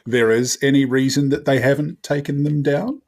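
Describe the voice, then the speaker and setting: A man plays a tabletop game in casual talk. His pitch is average for a man. He is wry and halting, often picking his words as he goes. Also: steady tone, a little mellow.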